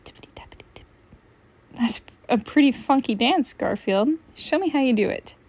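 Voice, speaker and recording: Higher-voiced woman reading a comic book aloud. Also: animated, normal speed, darkish tone, husky. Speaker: storybook reader. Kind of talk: expressive comic read-aloud.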